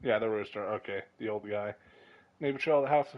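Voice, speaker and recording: A man's voice: on the low side, fairly quick. A man giving casual, off-the-cuff live commentary as he plays through a video game story. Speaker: game streamer reacting to story. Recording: dull, lo-fi voice audio.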